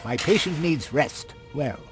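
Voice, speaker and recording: An actor playing a pompous, grumpy small-town doctor in a game, officious, sarcastic and theatrical. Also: male voice, on the high side, lively intonation, unhurried, over music. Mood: irritated, sarcastic. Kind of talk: animated game dialogue.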